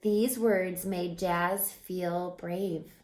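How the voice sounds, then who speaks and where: A woman reading a children's picture book aloud as a teacher, expressively, pausing to put questions to young listeners. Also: fairly low pitch, steady tone, a little mellow, reverberant.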